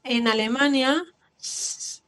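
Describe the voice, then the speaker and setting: A woman's voice: high voice, fairly even intonation, slow pace, fairly bright tone, poor audio. A woman with a German accent, a native German speaker, carefully explaining a point on an online panel.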